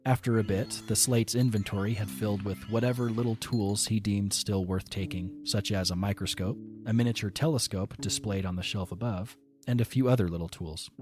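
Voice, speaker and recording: Man narrating a story, deep voice, measured delivery, music underneath.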